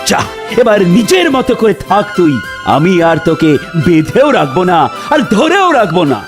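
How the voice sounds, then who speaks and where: A man's voice: high-pitched, very animated, moderate pace. A man voicing a generous, emotional master and father in a cartoon melodrama, repeating his words emphatically. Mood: grateful, commanding. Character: anguished, generous master and doting father, animated wealthy man. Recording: prominent music behind the voice.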